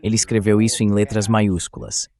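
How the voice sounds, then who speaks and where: A man's voice: fairly low pitch, level tone, brisk, a little mellow. A man's AI-generated voice dubbing an interview host, asking questions in a conversational way. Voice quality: synthetic AI voice.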